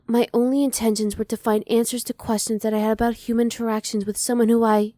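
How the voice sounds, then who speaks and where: A reserved female alien scientist, acted by a woman for an ASMR roleplay, close and very dry. The voice is mellow and soft, with careful, formal phrasing and a cool, curious restraint. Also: fairly high pitch, flat delivery, fast talker, no echo, close to an everyday voice. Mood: apologetic, restrained. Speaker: voice actor.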